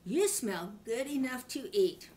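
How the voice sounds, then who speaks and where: A woman's voice: fairly high pitch, expressive, measured pace, a little mellow. An older woman voicing hungry farm animals in a children's storybook, calling out urgently. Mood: eager, urgent. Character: hungry farm animals: rabbit, cow, horse.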